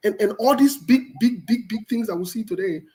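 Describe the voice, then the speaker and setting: A man's voice: sits high, fairly quick, warm tone. A man, hosting a political discussion and pressing his points emphatically, often repeating words for stress. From Liberia.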